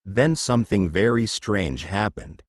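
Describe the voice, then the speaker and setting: Male storyteller, low voice, unhurried and measured, animated intonation for a children's learning text. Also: no echo, studio quality. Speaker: audiobook narrator of a children's textbook story. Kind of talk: scripted story narration.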